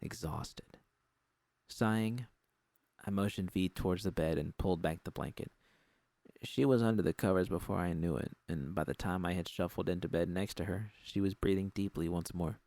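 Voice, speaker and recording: A man with a gravelly voice reading first-person fiction as an audiobook narrator. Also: deep voice, moderate pace, a little mellow, no room sound.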